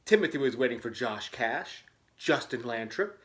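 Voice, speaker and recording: A man's voice: medium pitch, expressive, normal speed, a bit bright. A man reading a storybook dramatically, breaking off for wry, tongue-in-cheek casual commentary. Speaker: host reading a children's book aloud with asides.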